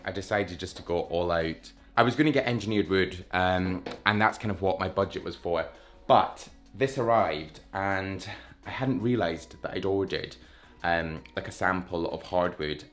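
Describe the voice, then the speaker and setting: A man in his thirties giving a casual, self-mocking tour of his flat, talking to a handheld camera as he walks from room to room. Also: low-pitched. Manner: chatty, self-mocking, enthusiastic. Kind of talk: casual walk-through chat. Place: rooms of a London flat, indoors. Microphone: handheld camera at arm's length.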